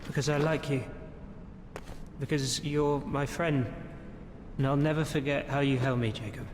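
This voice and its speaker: An actor playing a gentle, awkward young wizard in game cutscene dialogue, soft-spoken and halting. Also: male voice, medium pitch, fairly even intonation, slight background noise, natural voice. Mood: shy, sincere. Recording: orchestral film music behind.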